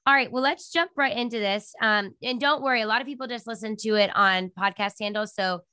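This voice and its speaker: A woman's voice: a little high, brisk, sharp tone, smooth, dry recording, clean studio sound. A woman hosting a podcast interview over a video call, talking in a chatty way with lots of fillers, her voice nasal from a cold. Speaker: podcast host. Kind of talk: casual interview chat.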